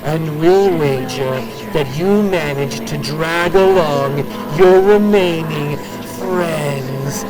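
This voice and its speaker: An actor voicing a cruel, mocking monster that speaks as "we" in an audio drama, slow and taunting with scornful laughs. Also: mid-range voice, poor audio.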